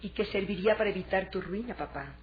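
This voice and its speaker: A woman's voice: medium pitch, fast, lightly acted. A woman playing a concerned, inquisitive young daughter in a Latin American Spanish radio drama. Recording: dull, noisy lo-fi radio drama recording.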